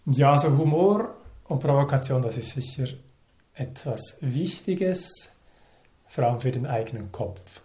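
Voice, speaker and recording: A man's voice: medium pitch, animated, warm tone. Thoughtful man in his thirties. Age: 30s. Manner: thoughtful, measured, speaks in short phrases with pauses. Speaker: interview guest. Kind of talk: reflective conversational interview.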